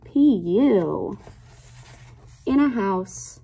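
A woman in her twenties, a teacher reading a picture book aloud to young children in a deliberate, child-directed way. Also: mid-range voice, animated, mellow, husky. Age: 20s. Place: small classroom, indoors.

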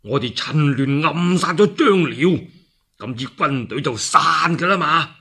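A male storyteller with a Cantonese accent voicing a scheming horse groom in a low, conspiratorial manner. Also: animated, a little slow, vocal fry, sharp tone, husky, full character voice.

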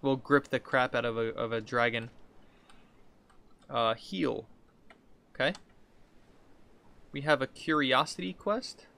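A man's voice: mid-range voice, takes it slowly, creaky, long pauses, sharp tone. A man in his thirties giving casual video game commentary as a streamer, thinking aloud in an informal way. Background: game music.

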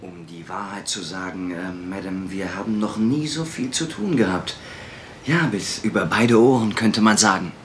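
Chatty deep-voiced deferential man, acted. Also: moderate pace, over music, lightly acted. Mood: apologetic, chatty. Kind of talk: German radio mystery play acting.

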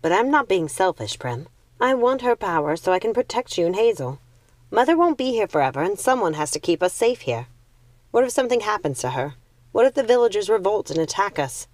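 A woman voicing a calm, pragmatic young witch sister in a storybook, measured and matter-of-fact. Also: mid-range voice, dry recording, lightly acted. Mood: reassuring.

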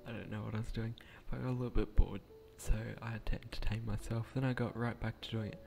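A young man with a croaky voice, speaking quietly at night in a hesitant, rambling way with frequent restarts as he narrates a game build. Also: on the low side, flat delivery, quick pace. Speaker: gaming channel creator.

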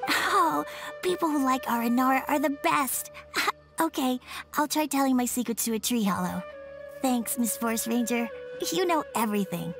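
A small, polite village boy, timid and hesitant, with a slightly high voice roughened by a gravelly fry. He is sad but brave. Faint game music plays underneath. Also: normal speed. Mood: relieved. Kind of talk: game cutscene dialogue.

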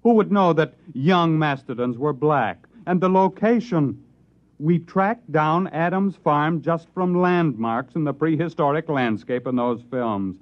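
A man's voice: fairly high pitch, unhurried, lightly acted. Insistent 1950s radio government investigator male actor. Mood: urgent, pleading. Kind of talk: 1950s radio drama acting. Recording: dull, lo-fi old radio recording.

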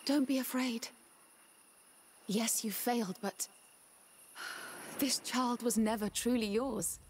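Mysterious game character, calm and foreboding, very slow delivery with slight ambience behind. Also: female voice, a little high, slight background noise. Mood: ominous calm. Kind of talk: dramatic video game acting.

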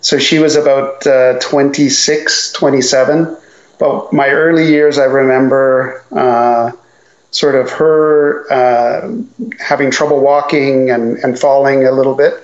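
A man from Newfoundland, Canada, telling his life story as a guest in a casual interview, with many pauses and fillers. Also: mid-range voice, flat delivery, reverberant, lo-fi sound.

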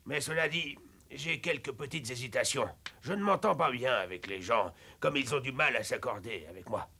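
A man voicing a gruff but soft-hearted old grandfather in a French cartoon dub, wry and grumbling. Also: mid-range voice, lively intonation, normal speed, exaggerated voice. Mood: hesitant, amused. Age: older. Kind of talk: French animated series dub.

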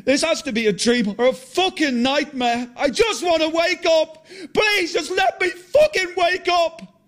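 A man voicing a man revived from the dead who breaks from composure into shouting rage. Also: medium pitch, normal speed, lightly acted. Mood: shouting anguish. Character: grieving, furious man revived from death.

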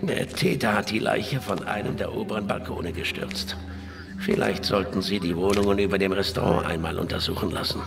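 A man's voice: low-pitched, a little fast, vocal fry, over music. An actor playing a guarded, sarcastic male horror novelist with a dry manner. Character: aloof middle-aged male horror writer. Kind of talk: radio drama acting.

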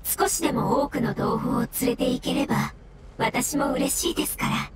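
A woman voicing a gentle, melancholy floating mushroom spirit in an anime game, with polite, solemn delivery. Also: high-pitched, moderate pace, heavy background noise, close to an everyday voice. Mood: gentle, hopeful. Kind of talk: Japanese anime game voice acting.

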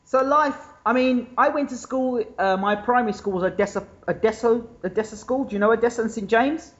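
Male host, thirties, chatty, slangy, high voice, muffled lo-fi recording. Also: brisk. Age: 30s. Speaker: interview host. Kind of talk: casual interview chat. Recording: dull lo-fi sound.

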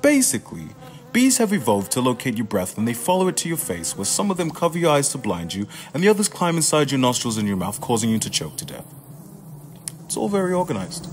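Exasperated playwright, acted by a man, swinging pitch. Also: a little hiss or hum, lightly acted. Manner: profane, confessional, emphatic. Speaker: student actor. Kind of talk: theatrical radio play monologue.

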